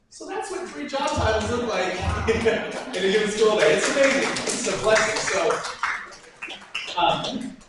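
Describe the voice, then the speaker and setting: A man who works as a school principal giving an upbeat, energetic presentation to a civic group, laughing often. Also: fairly high pitch. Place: large echoey meeting room. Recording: very echoey room from a distance, faint noise.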